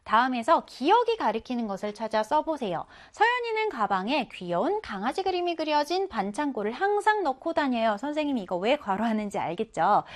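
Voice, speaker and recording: A woman with a high voice teaches a reading lesson for children. Her pitch swings widely as she explains, coaxing her young pupils along with questions and answering them herself.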